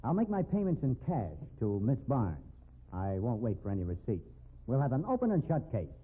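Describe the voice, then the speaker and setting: A man's voice: mid-range voice, wildly swooping pitch, moderate pace, husky. An actor playing a crooked, bullying private investigator in a 1950s radio drama, with slangy, sneering tough-guy talk. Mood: smug, scheming. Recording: tinny band-limited 1950s radio recording.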